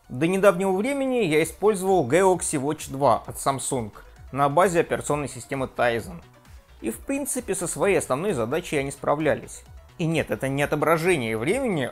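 Lively Russian-speaking tech vlogger man. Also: on the high side. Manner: lively, self-mocking, trips on pronunciation. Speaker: tech video host. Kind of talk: scripted tech review vlog. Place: home room with desk and gear.

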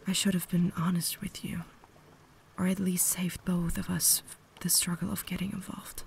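A breathy, whispering vampire lady played by a woman, cultured and caring but holding herself back. Her delivery is formal and earnest, quite even in pitch, with a creaky edge. It is an ASMR roleplay with some faint noise behind the voice. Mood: sorrowful, caring. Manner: formal, measured, earnest diction.

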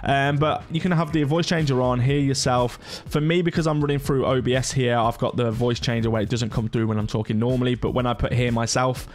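A man's voice: mid-range voice, steady tone, a little fast, few pauses. A middle-aged man talking informally through a casual tutorial, in the manner of a gaming content creator. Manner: chatty, informal.

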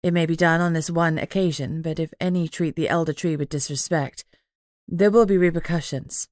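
A woman's voice: sits low, fairly even intonation, moderate pace, darkish tone, dry recording, clean studio sound, natural voice. A woman voicing ancient, grave tree dryads with formal, intoning speech in an audiobook. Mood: solemn.

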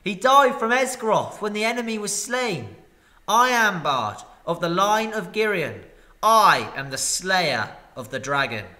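A man voicing a grim, fierce bowman hero who proclaims loudly and defiantly. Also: high-pitched, very animated, measured pace, voice pushed up, heavily acted.

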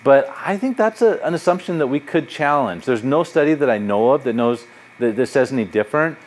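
Animated middle-aged man lecturing in a hall. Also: medium pitch, fairly quick, a little hiss or hum. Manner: animated, gesturing, conversational and anecdotal. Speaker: psychology professor. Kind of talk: public lecture with audience questions.

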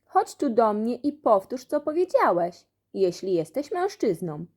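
A brash, combative schoolboy out of a children's storybook, acted by a woman. He taunts in a high, animated voice, always ready for a scrap. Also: unhurried. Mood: defiant. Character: cheeky, combative schoolboy challenging his classmate.

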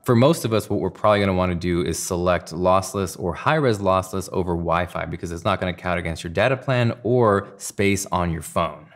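Very low-voiced male tech reviewer. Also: a little fast, few pauses. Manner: enthusiastic, emphatic. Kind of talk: scripted tech review to camera. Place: indoor studio room at a desk.

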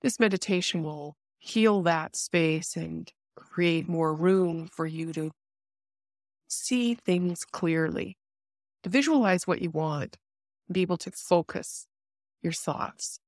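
Female meditation guide, lowish pitch, calm instructional delivery with gentle pauses, very dry sound. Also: dry recording. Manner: soothing, unhurried, instructive with pauses.

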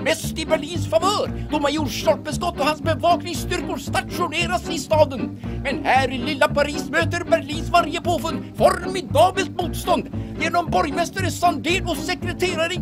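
An actress playing the grandiose narrator of a wartime adventure serial, delivering melodramatic scripted narration. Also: female voice, very high-pitched, hardly any pauses, loud music behind the voice. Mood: dramatic.

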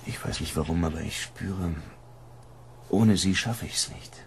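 A man acting a troubled, conflicted android hunter in a naturalistic radio drama, earnest and halting. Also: sits low, a little hiss or hum. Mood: torn, pleading.

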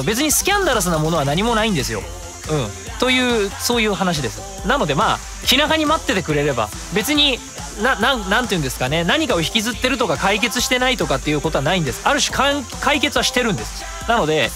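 A man hosting his own web radio show, chatting and reading listener comments in a rambling way with many fillers. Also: a little high.

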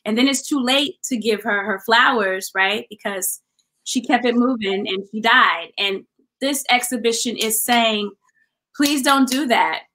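A middle-aged American woman answers as a guest artist on a video call. Her voice is fairly high and distinctly bright and forceful. She speaks earnestly and reflectively, restating phrases for emphasis. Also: a little slow, rough recording. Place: indoor room at home, video call. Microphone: video call mic.

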